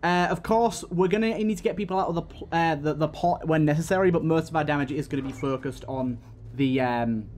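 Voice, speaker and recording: A man's voice: a little high, fairly quick, a little mellow. A man hosting a let's play, giving running commentary on a game. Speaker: gaming video host. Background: game music.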